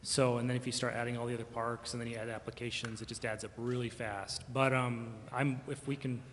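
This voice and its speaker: A man's voice: medium pitch, little pitch movement, brisk. Casual man in an echoey hall. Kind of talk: casual remarks in a public meeting. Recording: echoey hall with long reverb, faint noise.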